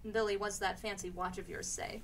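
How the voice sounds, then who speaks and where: A woman's voice: medium pitch, fairly even intonation, fairly quick, lightly acted. Woman acting a fussing, homely big sister. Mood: concerned. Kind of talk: live radio play acting.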